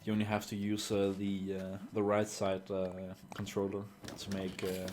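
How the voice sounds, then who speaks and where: A man streaming a game live, talking in a dry, sarcastic way with lots of halting "uh"s. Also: fairly low pitch, completely flat delivery, noisy background. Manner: dry, sarcastic, full of filler "uh"s. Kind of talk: casual live gameplay commentary.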